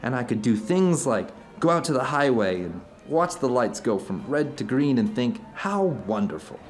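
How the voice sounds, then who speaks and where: A man's voice: mid-range voice, sing-song, moderate pace, close to an everyday voice. An actor playing an introspective man who tells uncanny personal anecdotes to an old friend in an audio drama, rambling, earnest and emphatic. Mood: earnest wonder. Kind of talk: audio drama dialogue, conversational monologue.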